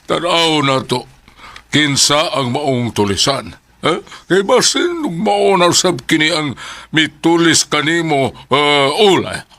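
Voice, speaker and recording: A man playing an authoritative boss in a Bisaya radio drama, speaking deliberately and emphatically with a Filipino accent. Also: fairly high pitch, sing-song, sharp tone, heavily acted. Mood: decisive. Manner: deliberate, emphatic, repeating questions. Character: authoritative male boss questioning his staff.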